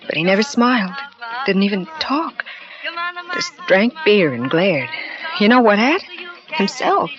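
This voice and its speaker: An anxious, devoted wife narrating in an old radio play, her voice rising and falling in wide swings, pleading and breathless. The broadcast sounds muffled, with clear music running beneath it. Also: mid-range voice, big pitch swings, unhurried, long pauses. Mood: anxious narration. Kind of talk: 1940s radio acting.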